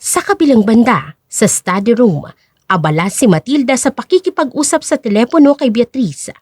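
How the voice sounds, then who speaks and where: Woman narrating a drama story, highish voice w lots of pitch movement, super dry recording. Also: animated, normal speed, darkish tone, breathy edge, no room sound.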